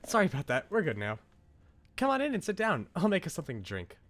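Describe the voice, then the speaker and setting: A man voicing a sweet, excitable young woman in a visual novel, with a flustered, gushing manner. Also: a little high, fast, voice pushed up.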